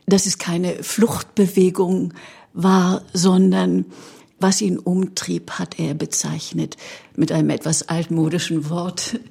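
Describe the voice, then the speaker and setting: A woman's voice: on the low side, creaky, mellow, breathy edge. A woman reflecting on personal memories as an interviewee in a documentary.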